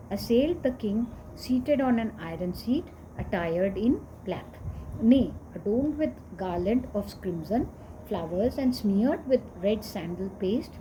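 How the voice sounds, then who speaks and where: Woman reading scripture over noticeable background noise. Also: medium pitch. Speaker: reader of scripture.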